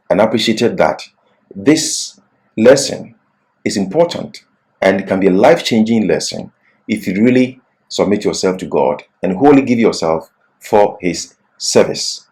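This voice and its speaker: A man delivering a scripted Bible lesson in an earnest, deliberate, emphatic manner. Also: fairly low pitch, darkish tone, poor audio. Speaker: Bible teacher. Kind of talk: religious lesson, scripted exposition.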